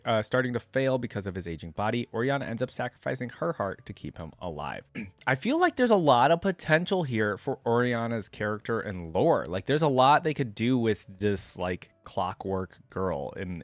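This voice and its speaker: A man's voice: mid-range voice, big pitch swings, moderate pace, no echo. Male video host, mid-range voice, casual tentative commentary, talks straight to viewers, dry close-miked sound. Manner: musing, tentative, addresses viewers directly. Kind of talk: casual commentary, partly reading a wiki aloud.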